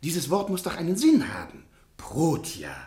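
An actor playing a timid, hesitant professor who stammers with frequent pauses, in a radio play. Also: male voice, on the high side, wildly swooping pitch, dark tone. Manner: hesitant, stammering, easily bewildered. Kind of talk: 1950s radio play acting.

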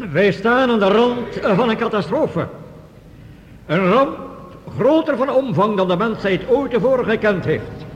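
A high male voice rings through a long hall reverb on a dull old radio play recording. He is a solemn official, urgent and emphatic, delivering a formal warning to an assembly. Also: a little hiss or hum. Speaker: official addressing delegates. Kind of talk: Dutch radio play acting, formal oratory.

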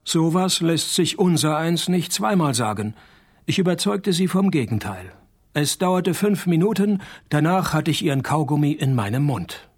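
Husky, sardonic private eye. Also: male voice, on the high side, moderate pace. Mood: deadpan, cheeky. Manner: dry, deadpan, sarcastic. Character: cynical, sardonic male private detective. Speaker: lead character and narrator. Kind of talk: radio play dialogue and noir-parody narration.

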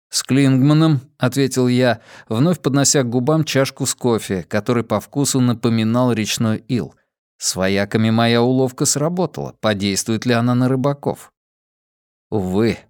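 A man narrates a novel in the first person. His voice sits at a medium pitch, and the recording is dry, with no room sound around it. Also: darkish tone, dry recording. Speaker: audiobook narrator.

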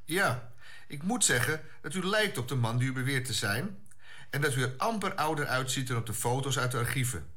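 A bright, forceful male voice of authority , acted by a man. He is a space-agency commander in a radio play, questioning people in a formal, measured, official way at a middle pitch. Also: expressive. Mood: grave, official. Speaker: actor in a science fiction audio drama.

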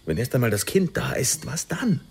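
A man's voice: mid-range voice, sing-song, normal speed, vocal fry, darkish tone. An actor playing a troubled, skeptical expectant father in a German radio drama, speaking in a weighty, hesitant manner. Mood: distraught.

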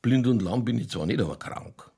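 A man playing a boozy, world-weary middle-aged police chief in a radio play, with a Lower Bavarian accent and a rambling, tipsy, cynically jovial delivery. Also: expressive, normal speed, vocal fry, warm tone, slightly rough, exaggerated voice. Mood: wry, rambling. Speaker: actor in a crime radio play. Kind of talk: naturalistic German radio drama dialogue.

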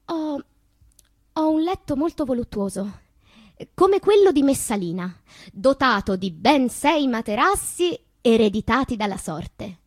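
An actress playing a sensual, unashamed woman poet in a deliberate, intimate theatrical monologue about her inner life. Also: sits high, big pitch swings, darkish tone, no echo, clean studio sound, full character voice. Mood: wry, playful. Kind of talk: theatrical literary monologue with sung passages.

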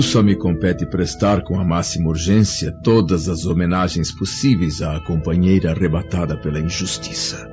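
An actor playing a grieving, aging Roman senator in a radio drama, speaking formally and solemnly. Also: male voice, sits low, lightly acted. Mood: grave, resolute. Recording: dull lo-fi audio, loud music behind.

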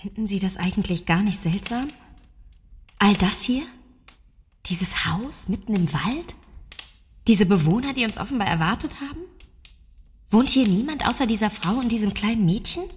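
A wary woman survivor questions everything in hushed tones in a radio play, played by a woman. A long hall echo surrounds her. Faint hiss sits underneath. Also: medium pitch, expressive, moderate pace, long pauses, lightly acted. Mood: suspicious.

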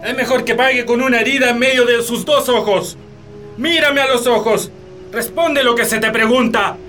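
A man's voice: very high voice, normal speed, bright, forceful tone, soft background music. A man with a Chilean accent playing a harsh, domineering landowner in a radio drama, commanding and threatening. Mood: furious, threatening.

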